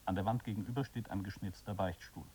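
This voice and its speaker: A man's voice: fairly low pitch, steady tone, quick pace, mellow, distant mic, slight background noise. A man narrating a radio play, setting the scene in scripted narration.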